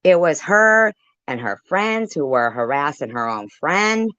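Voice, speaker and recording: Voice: mid-range voice, very animated, unhurried, a bit bright, clear, resonant tone, dry recording. An indignant commentator giving off-the-cuff reactions, swearing and repeating phrases for emphasis. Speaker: commentator reacting to a played livestream clip. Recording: dull lo-fi sound.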